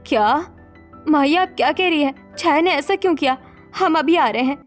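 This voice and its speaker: A woman playing a protective, reproachful young wife in an animated family melodrama, speaking emphatically. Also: high voice, moderate pace, soft background music, lightly acted. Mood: shocked, panicked. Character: distressed young married woman, protective, reproachful daughter-in-law.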